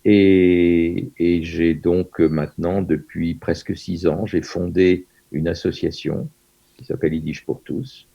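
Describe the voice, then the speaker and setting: A man's voice: low-pitched, steady tone, takes it slowly, vocal fry, mellow, breathy edge, lo-fi sound. An older man in his seventies from France, a Yiddish teacher being interviewed over a video call, hesitant with many fillers and restarts.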